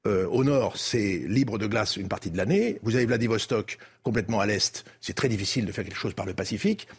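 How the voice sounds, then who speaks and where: An older man with a French accent from France, husky-voiced, recounting diplomatic history as a debate guest in a measured, didactic way with emphatic phrasing. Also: lowish voice.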